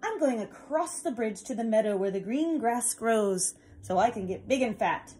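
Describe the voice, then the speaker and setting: A woman's voice: on the high side, expressive, moderate pace, mellow, natural voice. A woman voicing a medium-sized young male billy goat in a children's storybook reading, with a raised, pleading voice.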